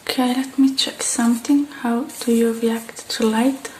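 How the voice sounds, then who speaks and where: Soft breathy lady doing asmr roleplay, half whispering, really slow, slightly high voice, bit of background noise. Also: flat delivery, rough recording. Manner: gentle, unhurried, murmuring to the listener. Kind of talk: soft-spoken ASMR roleplay as a technician tending a robot.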